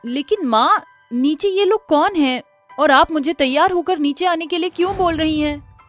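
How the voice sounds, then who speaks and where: Woman playing high-voiced, earnest young daughter-in-law. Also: moderate pace, soft background music, lightly acted. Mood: puzzled. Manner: earnest, pleading, melodramatic. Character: young, dutiful, wronged but firm daughter-in-law. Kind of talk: Hindi moral-story cartoon voice acting.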